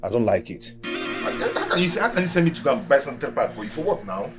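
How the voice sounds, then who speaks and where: Indignant Nigerian houseboy with animated intonation male actor. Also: medium pitch, quick pace, over music, lightly acted. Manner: indignant, repetitive, stammering protests. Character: aggrieved young male house servant, proud and protesting. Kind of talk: film drama acting.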